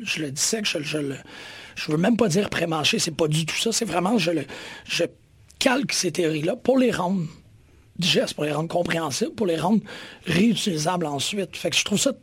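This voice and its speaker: A man with a Quebec French accent interviewing an author, eager and quick, often finishing the guest's sentences. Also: sits high, slightly rough, dry recording. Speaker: interviewer, host. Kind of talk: casual interview chat.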